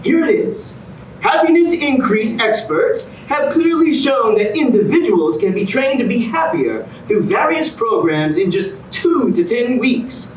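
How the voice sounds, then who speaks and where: A man playing an excitable, self-satisfied TV contest host with grandiose, emphatic announcing. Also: very high-pitched, unhurried, heavily acted. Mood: grand, teasing. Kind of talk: theatrical game show presenting in a staged reading. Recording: distant echoey stage recording, clear noise.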